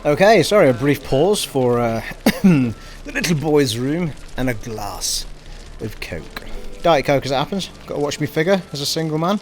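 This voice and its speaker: A middle-aged man from Scotland with a generic English accent, chatting and thinking out loud as he streams a game. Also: fairly high pitch, expressive, normal speed, few pauses, slight background noise. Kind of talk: live gameplay commentary. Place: home streaming room.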